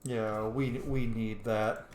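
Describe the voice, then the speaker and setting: A man's voice: fairly low pitch, flat delivery, slow pace, a little mellow, reverberant, slight background noise. A man giving casual commentary while playing a game, thinking aloud as he goes. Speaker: gamer narrating a roleplay playthrough.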